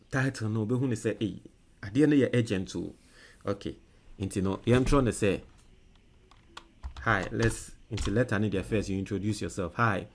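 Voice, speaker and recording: A man from Ghana with a Ghanaian English accent who hosts a political show on social media. He reads viewer comments forcefully, then dictates an email slowly while he types. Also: fairly low pitch, slight background noise. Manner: loud and emphatic when reading comments, quiet and halting while typing.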